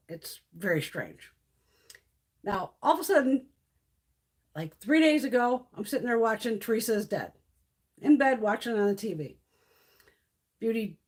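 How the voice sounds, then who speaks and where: Chatty, husky-voiced woman streaming live. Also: on the high side. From Connecticut, USA. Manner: swears freely, laughs often, chatty storytelling. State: starting to lose her voice. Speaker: beauty live-stream host. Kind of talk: casual live-stream chat.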